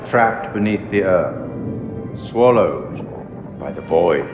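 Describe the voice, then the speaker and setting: An actor playing a sinister, urbane keeper of tales in a horror game, speaking in measured, formal, wry and ominous monologue. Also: male voice, sits low, lively intonation, muffled recording, exaggerated voice. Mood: ominous, grave. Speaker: curator, narrator of a horror game. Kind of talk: theatrical scripted game monologue. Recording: echoey long reverb, music behind. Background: orchestral music.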